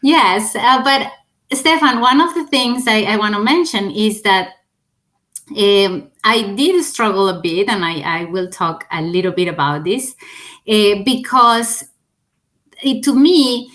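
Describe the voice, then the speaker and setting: A woman's voice: medium pitch, expressive, slow, fairly bright tone. A woman hosting a podcast, introducing her guest with enthusiasm and asking about his journey. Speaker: podcast host. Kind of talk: interview host introduction.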